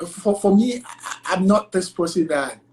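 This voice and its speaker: A young man with a thick Nigerian accent, a lawyer talking as an interview guest on a video call, animated and full of fillers. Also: sits high, a little slow, slight background noise. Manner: animated, chatty, many fillers like "like" and "you know". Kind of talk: casual interview conversation.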